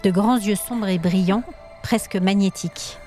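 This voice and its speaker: A woman tells a scripted fantasy tale as a storyteller. Her voice has a medium pitch, and the narration is dramatic, with light music underneath. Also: measured pace, warm tone.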